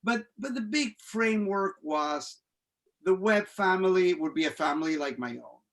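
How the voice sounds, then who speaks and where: High-voiced man. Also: expressive, fairly bright tone. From Venezuela. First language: Spanish. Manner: halting, many restarts and fillers. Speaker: playwright and director. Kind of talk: panel discussion.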